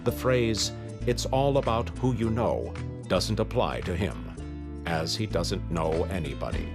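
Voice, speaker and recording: Low, deadpan male parody announcer. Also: unhurried. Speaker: commercial announcer. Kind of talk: mock-grand parody ad narration. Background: music with plucked guitar.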